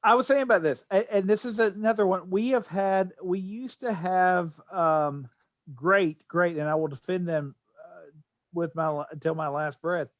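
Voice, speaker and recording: A man's voice: sits high, normal speed, no echo. A man co-hosting a podcast, chatting casually about games and films in an enthusiastic, emphatic way. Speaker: podcast co-host.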